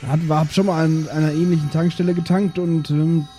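A man playing an easygoing old spaceship father who speaks hesitantly and haltingly in an improvised audio play. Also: a little high, little pitch movement, dark tone, faint music behind, natural voice.

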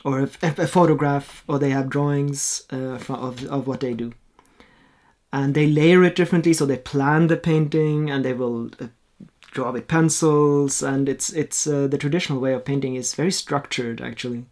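A man's voice: mid-range voice, fairly even intonation, normal speed, a little mellow. A man who grew up in Norway and speaks Norwegian as his first language, a painter talking in a casual interview, reflective and hesitant with many restarts. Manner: reflective, hesitant, with frequent restarts and light laughs. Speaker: guest, painter.

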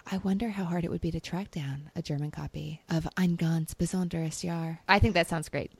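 A woman's voice: fairly low pitch, steady tone, normal speed, creaky, darkish tone, slightly rough, dry recording. A woman hosting a podcast, chatting casually and reacting with enthusiasm. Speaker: podcast host. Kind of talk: casual chat.

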